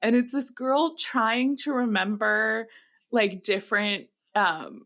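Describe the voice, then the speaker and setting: A woman's voice: on the high side, slow pace, fairly bright tone. A woman co-hosting a podcast in a casual, comedic chat, giggly and colloquial in the way she talks. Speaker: podcast co-host.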